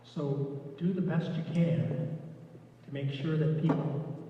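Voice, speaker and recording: A soft-spoken man giving an informal training talk to lay readers, relaxed, with frequent restarts. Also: a little high, takes it slowly, slight background noise. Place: echoey church hall. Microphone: room mic from a distance. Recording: echoey, distant, dull room recording.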